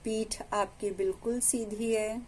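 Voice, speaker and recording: A woman with an Indian accent leads a guided meditation as a teacher, giving calm instructions with long pauses between phrases. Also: on the high side, a little hiss or hum.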